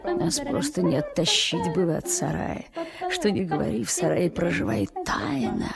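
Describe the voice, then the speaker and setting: A woman's voice: on the low side, wildly swooping pitch, normal speed, creaky, exaggerated voice. A woman narrating a dramatized radio story, recalling childhood adventures as the storyteller. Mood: storytelling. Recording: music behind the voice.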